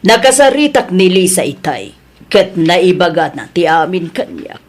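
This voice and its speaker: Actress for firm, patient female boss, Filipino accent, audio drama. Unhurried pace, slightly low voice, measured manner. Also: expressive. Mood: stern, reproving. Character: woman boss, patient but firm, reproving staff. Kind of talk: acted audio comedy-drama dialogue.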